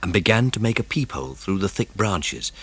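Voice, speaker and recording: A man's voice: deep voice, very animated, brisk, breathy edge. A man narrating a children's mystery story from a script, as in an audiobook.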